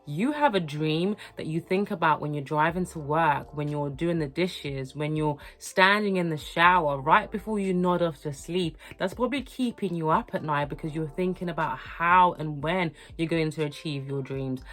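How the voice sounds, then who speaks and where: A young woman, hoarse from a cold, talking earnestly and encouragingly to her viewers in a motivational vlog. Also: low voice, moderate pace, few pauses. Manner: earnest, encouraging, repetitive emphasis. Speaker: lifestyle vlogger. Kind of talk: motivational talk to camera.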